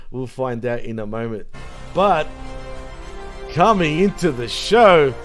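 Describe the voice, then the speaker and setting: A man's voice: medium pitch, very animated, takes it slowly, soft background music. A man co-hosting a casual online awards show, joking, laughing and swearing freely. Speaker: co-host.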